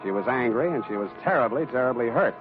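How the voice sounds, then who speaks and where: An actor playing a wry, exasperated hard-boiled private detective in dry, theatrical 1940s radio style. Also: male voice, mid-range voice, animated, fast, over music, lightly acted. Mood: dry, businesslike. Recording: noisy, narrow-band 1940s radio recording.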